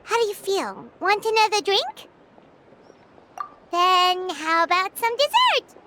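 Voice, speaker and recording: Squeaky, very high little fairy sidekick. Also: female voice, sing-song, slow, sharp tone, a little hiss or hum, exaggerated voice. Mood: cheerful, eager. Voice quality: squeaky, childlike. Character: tiny excitable childlike female fairy companion, gluttonous and chatty. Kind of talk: video game character acting.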